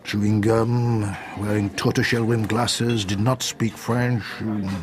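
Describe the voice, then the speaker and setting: An actor playing a middle-aged police inspector in a radio drama, speaking in an official, measured, thoughtful way. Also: male voice, lowish voice, level tone, close to an everyday voice.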